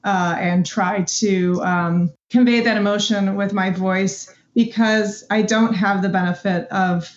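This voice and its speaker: A woman's voice: mid-range voice, steady tone, measured pace, hardly any pauses. A young woman who does voice work, chatting casually as an interview guest. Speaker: voice actress guest. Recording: roomy, dull lo-fi call audio.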